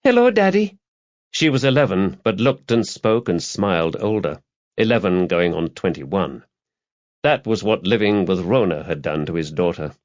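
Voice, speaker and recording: A man's voice: low voice, normal speed, muffled recording. A man reading a crime novel aloud as an audiobook narrator, in a scripted literary style.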